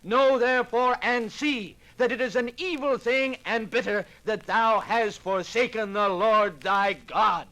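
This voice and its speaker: An actor playing a stern, fiery frontier revival evangelist, declaiming a sermon slowly in a 1950s radio drama. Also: male voice, sits high, pushed and bright, heavily acted, poor audio. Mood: stern, fervent. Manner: slow, drawn-out declaiming.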